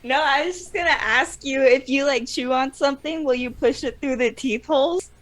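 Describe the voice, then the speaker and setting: A woman's voice: high-pitched, moderate pace. A young woman co-hosting a casual podcast chat, blunt and teasing, often laughing. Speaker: podcast co-host.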